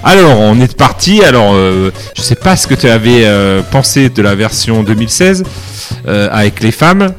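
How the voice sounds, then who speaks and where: A man's voice: fairly low pitch, sing-song, moderate pace, soft background music. A man chatting casually about films and cartoons as podcast co-host, hesitant with many fillers.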